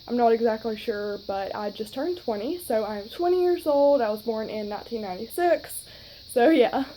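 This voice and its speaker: A woman's voice: fairly high pitch, expressive, normal speed, warm tone, slight background noise, poor audio. A woman of twenty with a Southern accent, chatting casually to her phone camera in a rambling way with lots of fillers. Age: 20s. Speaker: vlogger.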